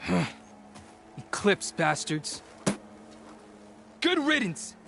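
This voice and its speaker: Game supporting role: earnest tribesman held captive, helpful and urgent, a somewhat higher male voice over soft score. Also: sing-song, extremely slow, creaky, exaggerated voice. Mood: bitter. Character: earnest captive tribesman, helpful, bitter toward his captors. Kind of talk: video game dialogue. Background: music.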